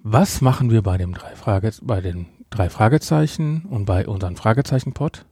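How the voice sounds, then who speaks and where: A man's voice: lowish voice, lively intonation, normal speed, dark tone, husky, no room sound, studio quality. A man hosting a podcast in an informal, conversational way, addressing listeners directly. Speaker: podcast host. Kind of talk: casual chat, reviewing an audio drama.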